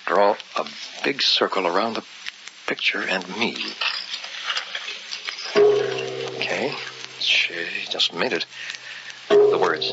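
An actor playing an obsessed, anxious husband who dabbles in the occult, with breathless theatrical delivery in an old radio horror drama. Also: male voice, sits low. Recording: muffled old radio recording, clear noise.

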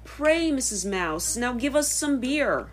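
A woman reading the part of a courteous gentleman frog in a storybook rhyme, formal and measured. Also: on the high side, very animated, faint music behind, pitched above the performer's own voice. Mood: courteous, jovial. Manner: formal, measured verse delivery. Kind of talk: storybook verse character voice.

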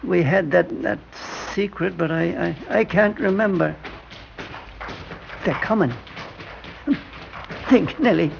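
A frail old man with a high, gravelly voice, rambling tenderly and losing his thread. It is an old-time radio drama on a dull, hissy recording. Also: takes it slowly, pauses often, exaggerated voice. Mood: confused, anxious. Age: older. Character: frail, confused old elevator man doting on his elevator Nellie.

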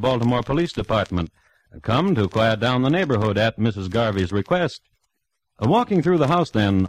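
An actor playing a dry, wry crime-history storyteller, narrating a 1950s radio show in a measured, formal, deadpan manner. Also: male voice, mid-range voice, lightly acted, low-quality recording. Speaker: narrator and host.

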